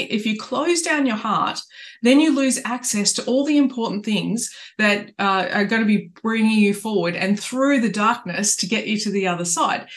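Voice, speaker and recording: A middle-aged woman speaks at an ordinary, middle pitch on a home webcam. She coaches earnestly and spiritually, often breaking off and restarting her sentences. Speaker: life coach. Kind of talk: reflective coaching talk to camera. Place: indoors in a small home room.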